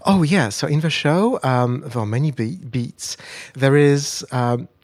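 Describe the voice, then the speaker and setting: A man who co-runs an improv show, explaining it haltingly with many fillers. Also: medium pitch, darkish tone, no room sound. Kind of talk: interview answers.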